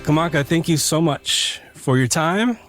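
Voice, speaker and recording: An upbeat man hosting a travel podcast, with a voice in a middle range. He reads his prepared intro smoothly, then shifts into friendly, easygoing chat with his guest. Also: on the high side, lively intonation, measured pace, music in the background. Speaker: podcast host. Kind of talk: scripted intro then casual interview.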